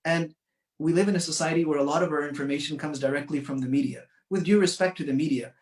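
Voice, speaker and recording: A man from Canada giving an earnest, measured commemorative speech, pausing often with fillers. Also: fairly high pitch, steady tone, roomy sound, far from the mic. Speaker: community speaker.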